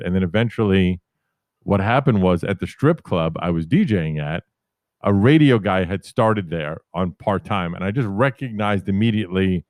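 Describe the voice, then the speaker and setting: A man in his fifties from New York City tells career stories in a low, deep voice. He wanders through anecdotes, correcting himself as he goes. The sound is close and dry, with no room around it. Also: no echo. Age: 50s. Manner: rambling, self-correcting anecdotes. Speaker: podcast guest. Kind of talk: casual storytelling interview.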